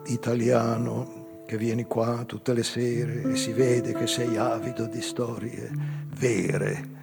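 An older male actor playing a weary, melancholy old man confessing a past crime in a halting, raspy theatrical monologue. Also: mid-range voice, flat delivery. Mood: solemn. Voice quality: raspy, husky, breathy. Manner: halting, slow, dramatic pauses. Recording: live music behind the voice.

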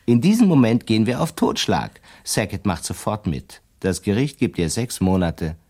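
An actor playing a shrewd, smug, greedy lawyer in a German radio drama, with a theatrical, self-satisfied way of telling things. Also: male voice, fairly low pitch, big pitch swings, normal speed, darkish tone. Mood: gloating, amused.

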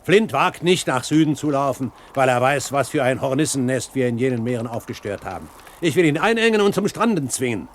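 An actor playing a determined pirate captain giving orders in a radio play, commanding and articulate. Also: male voice, mid-range voice, animated, brisk, slight background noise, close to an everyday voice. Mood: resolute. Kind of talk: German radio play acting.

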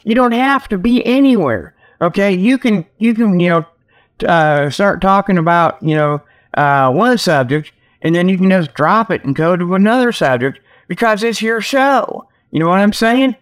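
Male comedy radio host, slightly higher register, folksy and joking, loose off-the-cuff monologue with tongue-in-cheek salesman patter. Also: expressive, moderate pace. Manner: folksy, joking, chuckling at own jokes; repeats "okay" as a verbal tag. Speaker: solo host of a comedy internet radio show. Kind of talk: rambling casual monologue with mock sales pitches.

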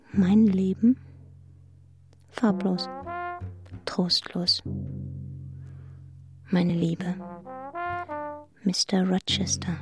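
Radio drama lead: wounded, resolute young governess, medium register, intense yet restrained narration, musical backing. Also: female voice, medium pitch. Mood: desolate, anguished. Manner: restrained, intense, breaking into hushed fragments. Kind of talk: German radio play narration and acting.

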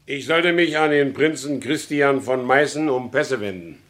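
An actor playing a wronged horse dealer in a German radio drama, courteous and formal but insistent. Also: male voice, medium pitch, moderate pace, fairly bright tone, lightly acted. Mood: wary, suspicious. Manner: formal, deferential yet insistent. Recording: roomy studio radio drama recording.

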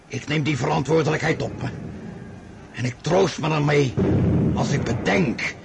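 An actor playing a proud, weary and grandiose emperor in a radio drama, declaiming imperiously. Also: male voice, moderate pace, creaky. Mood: solemn, self-justifying. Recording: radio play with loud battlefield rumble behind.

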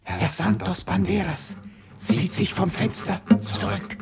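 A man narrating a literary radio play with measured, pointed phrasing. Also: high-pitched, vocal fry. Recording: loud music behind the narration. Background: loud music.

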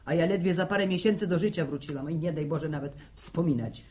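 A woman's voice: low voice, fast talker, a little mellow, close to an everyday voice. An actress playing a chatty, gossipy older village woman in a radio drama, folksy and full of repetitions. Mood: wistful, complaining. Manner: voluble, folksy, hesitating and repeating.

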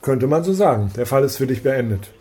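An actor playing a stern, suspicious police superior, curt and authoritative, in a radio crime drama. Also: male voice, mid-range voice, expressive, fairly quick, dark tone, natural voice. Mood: icy, suspicious.

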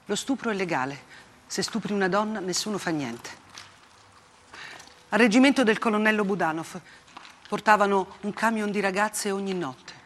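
An actress playing a grave, unflinching woman war reporter in a theatrical monologue, speaking in short, deliberate phrases with dramatic pauses. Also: mid-range voice, a little hiss or hum, close to an everyday voice. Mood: grim, indignant. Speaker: stage actress. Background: plucked guitar-like music.

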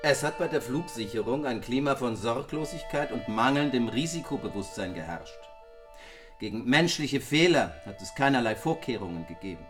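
A man's voice: medium pitch, lively intonation, moderate pace, music in the background, lightly acted. An actor in a German radio drama playing an insistent, needling man who presses his points in a commanding, emphatic way. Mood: stern, accusing.

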